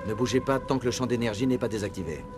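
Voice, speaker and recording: A man's voice: mid-range voice, fast, music in the background, close to an everyday voice. A man playing a resolute, authoritative male military commander in a video game, with terse delivery. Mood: resolute, commanding. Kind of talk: French video game dub.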